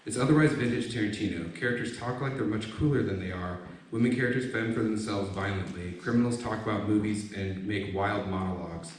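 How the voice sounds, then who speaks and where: Male essayist, 30s, lowish voice, reading aloud with asides, distant mic in a reverberant room. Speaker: essayist presenting his own writing. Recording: very echoey room, distant mic, faint noise.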